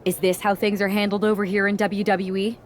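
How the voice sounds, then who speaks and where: A woman's voice: medium pitch, little pitch movement, a little fast, natural voice. A woman plays a hard-edged female fighter in a video game story. She talks with dry sarcasm and simmering anger, over faint background noise. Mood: sarcastic. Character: tough angry female wrestling rookie, former MMA fighter, sardonic. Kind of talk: video game cutscene acting.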